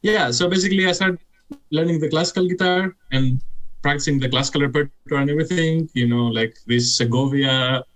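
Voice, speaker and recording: Greek guitarist rambling casually. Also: male voice, on the high side, fairly quick, fairly bright tone, poor audio. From Greece. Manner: rambling, heavy use of "like" and "you know". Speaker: guest musician. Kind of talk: casual interview talk.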